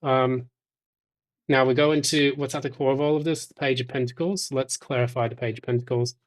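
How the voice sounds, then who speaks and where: Male tarot reader, mid-range voice, unscripted and explanatory, measured delivery that pauses often between thoughts. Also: mid-range voice, fairly even intonation. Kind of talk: intuitive tarot reading, addressed to the listener.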